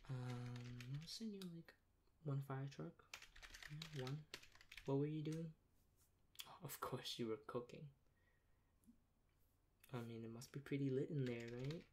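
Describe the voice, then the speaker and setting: A young man with a mid-pitched voice plays a rude dispatcher in an ASMR role play. He is soft-spoken, sarcastic and dismissive, with a deadpan delivery. The recording has clear background noise and keyboard clicks. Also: a little slow, pauses often. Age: young adult. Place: small plain room indoors.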